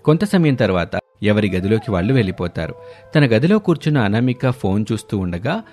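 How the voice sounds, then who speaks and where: A man's voice: fairly low pitch, very animated, a little fast, darkish tone. A man reading a scripted children's story as its narrator. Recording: music under the voice. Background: background music.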